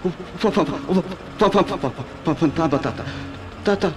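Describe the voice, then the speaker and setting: High-pitched, jittery Brazilian male driver. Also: measured pace, background noise. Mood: terrified. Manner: stammering, repeats words nervously. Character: boastful, cowardly, jittery male taxi driver. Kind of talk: Brazilian radio mystery drama acting.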